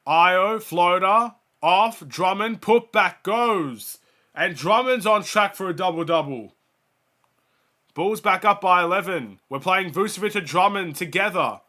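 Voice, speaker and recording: A young man hosts a fan watchalong, reading out player stats and calling the action. His voice is bright and sits a little high. He is sick and his voice is starting to give out, and he gets louder on the big plays. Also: a little slow. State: sick, voice going. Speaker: fan host of a watchalong stream. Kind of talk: casual play-by-play and stats commentary.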